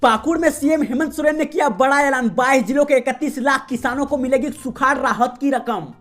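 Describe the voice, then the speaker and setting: A woman reading the day's regional news as a host, in a steady, formal newsreader delivery. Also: high-pitched, a little fast. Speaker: news reader. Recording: faint music behind voice.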